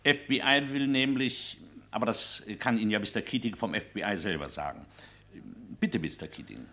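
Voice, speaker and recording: Low-voiced, firm senior police official. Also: male voice, normal speed, bright, forceful tone. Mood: dry, formal. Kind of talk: vintage German radio crime drama acting.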